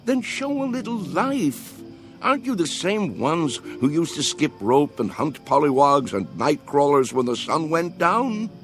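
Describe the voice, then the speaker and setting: A man's voice: a little high, sing-song, unhurried, a bit bright, faint music behind, full character voice. An actor in a radio drama playing a spirited old man, earnest, dreamy and pleading as he urges his friends to feel young. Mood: impatient.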